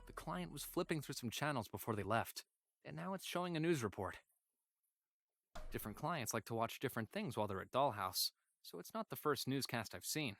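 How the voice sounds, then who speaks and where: Visual novel android companion, male: polite, obedient, subdued and weary, formal diary narration with hesitant pauses, medium pitch. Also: expressive, gravelly, fairly bright tone, faint music behind. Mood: detached, unsettled.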